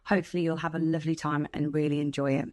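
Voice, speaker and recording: A woman with a slightly low voice speaks as a school staff member to young children. She is encouraging and explains things as an announcement before a storytime. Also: flat delivery, rapid-fire, darkish tone.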